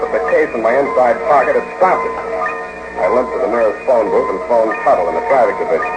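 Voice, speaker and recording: A vintage radio drama with loud music behind it. A male actor plays a wry American private eye of middling pitch. He delivers his hard-boiled lines deadpan, in 1940s noir style. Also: close to an everyday voice, poor audio. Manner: deadpan, wisecracking.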